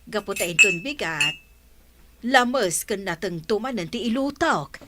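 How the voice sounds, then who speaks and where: A woman's voice: mid-range voice, animated, measured pace, a crowd in the background, natural voice. A woman playing a doting, homely old village grandmother in a radio comedy-drama, recorded with background noise. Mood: fond, chiding.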